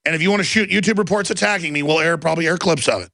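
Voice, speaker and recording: A man's voice: on the high side, very fast, creaky, sharp tone, no echo. A man with a Texas accent hosting a combative call-in radio show, ranting indignantly and restarting his sentences. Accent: Texan. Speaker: radio talk show host.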